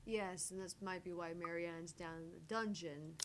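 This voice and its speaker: A woman's voice: fairly low pitch, steady tone, normal speed, a little hiss or hum. A woman co-hosting a gaming livestream, chatting casually and reading game text aloud.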